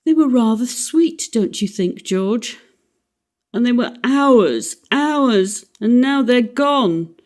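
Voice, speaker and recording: A woman voicing a gentle, placid young mother who speaks softly and fondly. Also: fairly high pitch, lively intonation, slow pace, voice pushed up. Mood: wistful.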